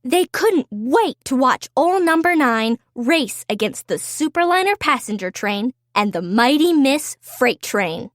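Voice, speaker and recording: A woman reads a picture book aloud to young children. Her voice is high and swings widely in pitch, with a slow, deliberate delivery. The sound is dry and close. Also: very animated, dry recording. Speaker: children's story reader. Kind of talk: animated read-aloud storytelling for young children.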